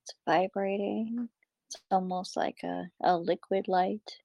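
A woman leading a guided meditation, giving calm instructions in short, measured phrases with breathy endings. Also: mid-range voice, no room sound.

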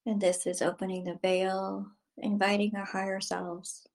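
A woman leading a guided meditation, giving soothing spoken instructions in short phrases that sometimes drop to a hush. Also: mid-range voice, level tone, normal speed.

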